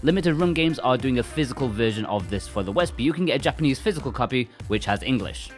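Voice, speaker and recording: A man's voice: mid-range voice, animated, quick pace. Chatty guy doing game review voiceover, really up and down intonation, music underneath. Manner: informal, chatty, uses slang. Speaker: video game reviewer, co-host. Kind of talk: scripted review narration with casual asides. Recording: music behind the voice. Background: background music.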